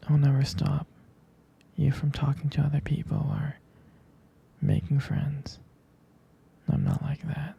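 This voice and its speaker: A man playing a jealous, devoted young boyfriend in an intimate ASMR roleplay, speaking in a hesitant, tender, breathy half-whisper. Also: a little high, warm tone, dull audio, full character voice. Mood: possessive, pleading.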